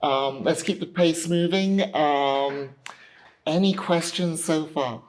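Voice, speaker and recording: A woman hosting a table read as director, briefing the group casually in halting, filler-heavy sentences. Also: low-pitched, darkish tone, a little hiss or hum. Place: rehearsal studio.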